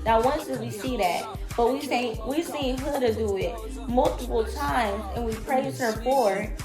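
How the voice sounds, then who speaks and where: Raspy-voiced woman, blunt and emphatic. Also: fairly high pitch, unhurried. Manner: blunt, emphatic, talks to viewers as y'all. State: sick, raspy voice. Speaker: reality TV commentator. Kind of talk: opinionated vlog commentary. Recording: echoey room with loud music.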